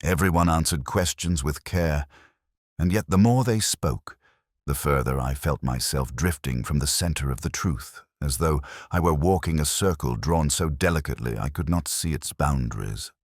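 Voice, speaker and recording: Very deep male narrator, dry studio sound. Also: dry recording. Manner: measured, brooding, literary delivery. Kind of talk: scripted first-person detective story narration.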